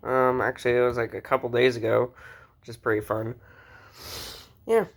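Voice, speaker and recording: A man's voice: mid-range voice, level tone, moderate pace, creaky. A man talking casually and informally as he shows off a collection, rambling as he goes. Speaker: host showing a radio collection. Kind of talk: casual hobby show-and-tell.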